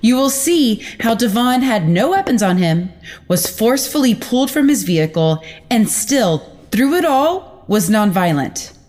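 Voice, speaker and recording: A woman playing a determined prosecuting attorney in an audio drama, speaking formally and with deliberate emphasis. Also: a little high, big pitch swings, a little mellow, faint music behind. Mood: formal, resolute. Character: determined female prosecuting attorney addressing a jury. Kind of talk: audio drama acting, formal courtroom speech. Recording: clear background noise.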